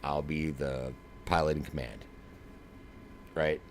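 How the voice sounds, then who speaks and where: A man's voice: a bass voice, flat delivery, takes it slowly. A man giving live flight simulator demo commentary in a casual, slangy, emphatic way, like a streamer. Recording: clear background noise.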